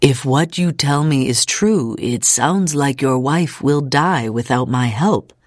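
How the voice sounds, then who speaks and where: 여성 낭독자가 연기하는 낮고 차분한 중년 아버지. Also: female voice, a bass voice, dry recording, studio quality, full character voice. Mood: reasoning. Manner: measured, soothing. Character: calm, resigned middle-aged father, a doctor. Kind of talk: audiobook character acting.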